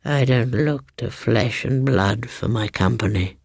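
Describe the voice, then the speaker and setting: A man voicing a sour, peevish, half-blind old aunt with a croaking voice, in a ghost story reading. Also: mid-range voice, measured pace, no room sound, clean studio sound. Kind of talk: dramatised audiobook ghost story.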